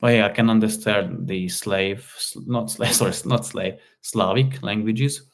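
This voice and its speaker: A man's voice: lowish voice, steady tone. A man from Slovakia whose first language is Hungarian, chatting casually on a live stream and answering viewer comments with frequent restarts and fillers. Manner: halting, repeats words, many fillers. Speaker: live stream host, car repair hobbyist.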